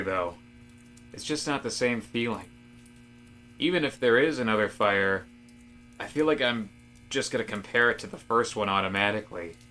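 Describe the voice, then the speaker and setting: Man acting fed-up office worker, bright voice. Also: fairly low pitch, a little hiss or hum, pitched below the performer's own voice. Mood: glum, musing. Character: disaffected male office worker, increasingly fed up and rebellious. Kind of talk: comic audio drama dialogue.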